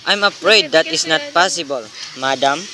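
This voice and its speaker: A woman's voice: low-pitched, moderate pace, close to an everyday voice. A woman playing a polite, patient telephone operator in a radio play, speaking in a formal, procedural way. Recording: clear background noise.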